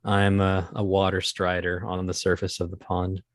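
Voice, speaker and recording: Low-voiced man, halting and self-deprecating. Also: completely flat delivery, no echo, clean studio sound. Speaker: podcast guest, voice performer. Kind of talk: casual interview chat.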